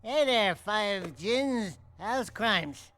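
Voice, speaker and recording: A man voicing a small, mouthy, wisecracking talking rat sidekick in an animated video game. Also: high voice, drawn-out words, heavily acted. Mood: cheeky.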